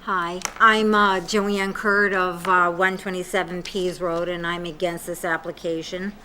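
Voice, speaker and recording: A woman's voice: fairly low pitch, level tone, a little slow, sharp tone, slight background noise. A woman, a resident making a firm, direct public comment at a town hearing. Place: town meeting room.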